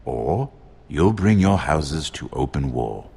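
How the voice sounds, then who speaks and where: An actor playing a shrewd, wry noble lord and royal treasurer in a fantasy game, speaking in a measured, worldly way. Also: male voice, very deep voice, sing-song, creaky, mellow, exaggerated voice. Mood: shrewd bargaining. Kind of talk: dramatic video game dialogue.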